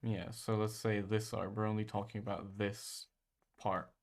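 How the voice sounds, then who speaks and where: A man's voice: fairly low pitch, level tone, normal speed, vocal fry. A young man in a casual study chat, asking questions informally as a co-host.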